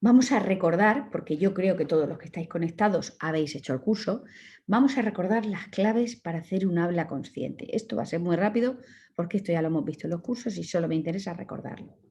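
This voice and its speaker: A woman from Murcia with a Murcian Spanish accent, giving an online lecture as a communication course instructor in a calm, instructive way, with direct advice. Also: on the low side, fast. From Murcia, Spain.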